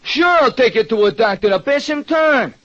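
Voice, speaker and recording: A man voicing a gloomy, excitable working-class American diner owner in 1940s radio style, plaintive and slangy. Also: very high voice, normal speed, voice pushed up. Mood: gloomy, hurt. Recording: dull, noisy old radio recording.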